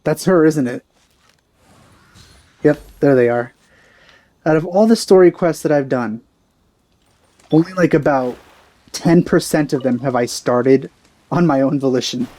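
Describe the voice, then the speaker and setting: Mellow male gamer thinking aloud. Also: a little high, measured pace, long pauses. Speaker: let's play gamer. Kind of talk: casual blind playthrough commentary.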